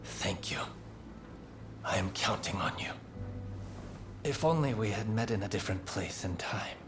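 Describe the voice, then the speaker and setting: An actor playing a revered, imprisoned samurai teacher, calm and resigned, speaking softly in formal, measured phrases. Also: male voice, lowish voice, over music. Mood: gentle. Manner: solemn, philosophical, formal.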